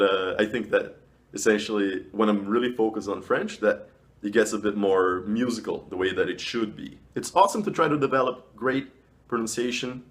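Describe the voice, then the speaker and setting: A man talking casually as a vlogger giving advice, emphatically stressing and stretching key words. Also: lowish voice. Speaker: language vlogger. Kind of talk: casual advice talk to camera.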